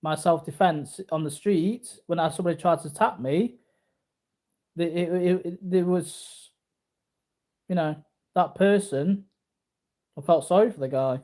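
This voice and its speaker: A man's voice: fairly high pitch, measured pace, long pauses, warm tone. A man in his early forties talking casually to viewers in a rambling, repetitive, boastful way. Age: early 40s. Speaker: martial arts vlogger.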